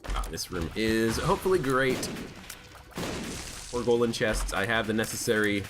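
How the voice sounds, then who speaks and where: A man with a stuffed-up, hoarse voice, chatting casually as he plays a video game. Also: lowish voice, unhurried. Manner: chatty, thinking aloud while playing. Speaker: gaming channel host. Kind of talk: casual let's play commentary. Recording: background game music.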